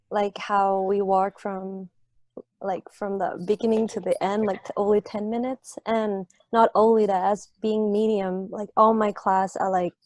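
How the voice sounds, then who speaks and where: A woman's voice: medium pitch, a little mellow, no room sound. Young Thai-accented woman on student panel. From Thailand. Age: early 20s. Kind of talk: informal panel answers.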